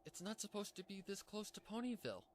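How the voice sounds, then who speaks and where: Man voicing a raised-pitch startled girl pony, noisy. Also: very animated, fast talker. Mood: anxious surprise. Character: cheerful young female pony, startled and pleading. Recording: clearly noisy background.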